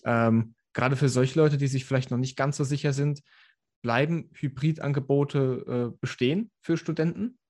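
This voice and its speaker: A man's voice: mid-range voice, fairly even intonation, moderate pace, darkish tone. Young man asking questions with many fillers. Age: 20s. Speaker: podcast co-host and interviewer. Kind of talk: interview.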